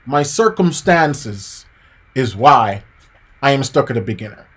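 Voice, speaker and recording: A man's voice: mid-range voice, animated, measured pace, fairly bright tone, husky. Encouraging man teaching piano on a livestream. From Jamaica. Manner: casual and preachy, addresses viewers as guys and man, repeats key points. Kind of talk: live-streamed motivational piano lesson.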